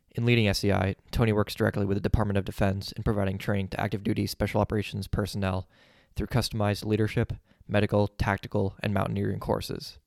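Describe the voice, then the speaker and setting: A man hosting a podcast, reading a measured introduction and asking interview questions. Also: on the low side, vocal fry, darkish tone, no room sound, clean studio sound. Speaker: podcast host.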